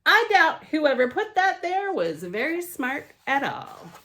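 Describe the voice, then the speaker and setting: Slow, high-pitched, animated woman storyteller. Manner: theatrical, emphatic, with pauses and repeated words for effect. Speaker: library storytime reader. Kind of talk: expressive children's picture book read-aloud with chatty asides.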